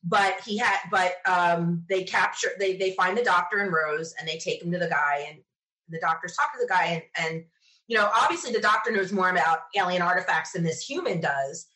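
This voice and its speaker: A woman's voice: lowish voice, a little fast, pushed and bright, poor audio. Enthusiastic woman telling stories casually. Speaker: podcast interview guest, sci-fi podcaster. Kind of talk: casual conversational storytelling.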